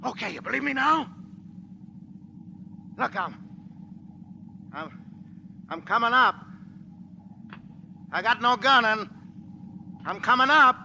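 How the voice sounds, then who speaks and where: Gravelly, high-strung gangster boss. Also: male voice, animated, dull audio, full character voice. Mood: wheedling, desperate. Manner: shouting, fast, wheedling bluster. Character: cornered, menacing gangster boss, desperate and scheming. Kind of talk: 1940s radio drama acting. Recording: noisy vintage 1940s radio broadcast.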